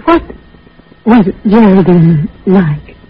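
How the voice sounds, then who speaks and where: A woman's voice: medium pitch, expressive, slow, breathy edge, no echo, exaggerated voice. A woman playing a devoted, composed, coaxing sweetheart in a 1940s radio drama. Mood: tender, coaxing. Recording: muffled old radio recording with music.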